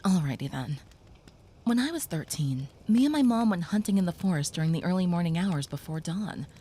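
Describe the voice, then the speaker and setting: A woman voicing a gentle, protective young female vampire in an audio roleplay, speaking softly and reassuringly, sometimes whispering. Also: lowish voice, expressive, brisk, a little hiss or hum, close to an everyday voice.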